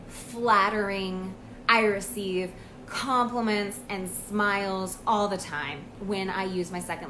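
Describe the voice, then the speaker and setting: An American woman, a language coach, talking about her own experience in a casual, earnest vlog monologue with frequent pauses and ums. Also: medium pitch, noisy background. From United States. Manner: earnest, reflective, with pauses and fillers.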